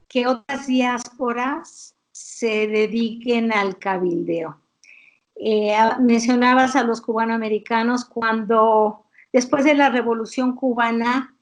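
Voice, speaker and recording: A woman giving an explanatory interview as an academic expert, in a didactic, deliberate way with hesitant pauses. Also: on the high side, rough recording.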